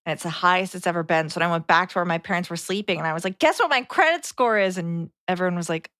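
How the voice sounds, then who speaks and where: A woman's voice: lowish voice, quick pace, fairly bright tone, no room sound, studio quality. A woman co-hosting a casual podcast, telling anecdotes and acting out bits of dialogue as she goes. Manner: animatedly quotes dialogue in anecdotes. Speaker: podcast co-host. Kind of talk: casual chat, anecdotal storytelling.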